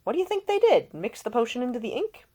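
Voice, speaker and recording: A man's voice: very high voice, big pitch swings, fast talker, darkish tone, pitched above the performer's own voice, full character voice. A woman voicing a breezy, idle young aristocratic man at a party in an audiobook. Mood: breezy, chipper. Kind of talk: audiobook character voice.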